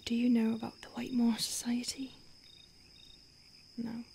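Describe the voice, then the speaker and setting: Woman acting out ancient female vampire, higher-pitched, hushed ASMR whisper, halting, urgent and secretive, faint night insect noise. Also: flat delivery. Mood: grave, confiding. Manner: hushed, halting, breathy whispering with deliberate pauses.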